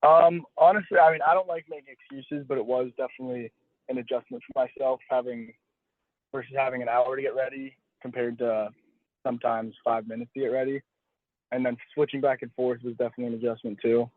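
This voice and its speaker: A young man answers interview questions casually in a mid-pitched voice, circling back and rephrasing as he talks. The sound is a lo-fi phone call, dull and dry, with no sense of room. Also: fairly even intonation, a little fast, no room sound. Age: young adult. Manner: hedging, self-correcting, restating phrases. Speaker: college baseball pitcher being interviewed.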